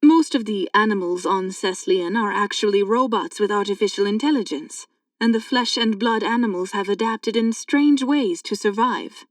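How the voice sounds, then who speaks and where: An actress playing a knowing woman in a sci-fi audiobook drama, speaking in a clear, measured, explanatory way. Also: medium pitch, no echo, clean studio sound, recorded from a distance, close to an everyday voice. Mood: calm explaining. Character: two sci-fi women, a knowing guide and a curious thief. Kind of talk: full-cast audiobook dialogue.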